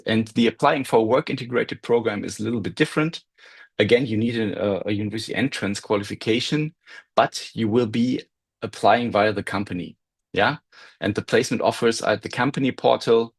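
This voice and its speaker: A man's voice: on the low side, breathy edge. A man presenting university admission steps over a video call, explaining carefully and checking in with listeners. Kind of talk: online info session presentation.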